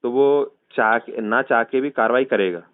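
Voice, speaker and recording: A man's voice: mid-range voice, moderate pace, smooth, dry recording. Male, central Indian Hindi accent, persuasive explainer who keeps seeking agreement, heard on a tinny recorded phone call. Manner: persuasive, checks agreement with frequent tag questions.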